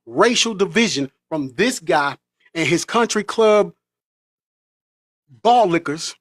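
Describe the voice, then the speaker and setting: A man's voice: high-pitched, lively intonation, slow pace, dry recording, studio quality. An American man delivering an impassioned, preachy monologue as a vlogger. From United States.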